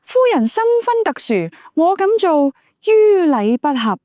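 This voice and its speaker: A woman's voice: high-pitched, sing-song, a little slow, warm tone, no echo, studio quality, pitched above the performer's own voice. A woman voicing an upright, wary male hero in an ancient-China audiobook, formal and earnest. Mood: stern, formal.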